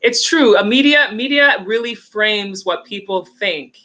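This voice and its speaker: A woman's voice: mid-range voice, a little slow, sharp tone. A woman with a Canadian accent, hosting an interview and reading out viewer questions in a chatty, easy way. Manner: chatty, reads viewer questions, laughs easily. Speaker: host. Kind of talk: live-stream interview.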